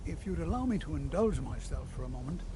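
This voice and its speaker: An actor playing a pompous older scholar mage in a fantasy game, speaking in formal, wordy phrases. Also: male voice, on the high side, expressive, fast talker, noisy background, natural voice. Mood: enraptured. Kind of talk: scripted fantasy game dialogue.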